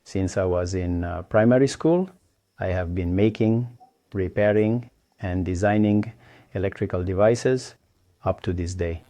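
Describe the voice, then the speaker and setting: A man with a very low, warm, mellow voice explains his engineering work at an unhurried pace. He pauses often and restarts his phrases. The recording is dry and close. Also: dry recording. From Tanzania.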